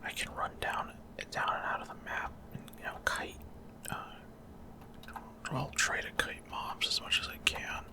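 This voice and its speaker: Whispering man, ASMR gameplay commentary. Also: a little slow. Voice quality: soft unvoiced whisper. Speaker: ASMR gaming streamer.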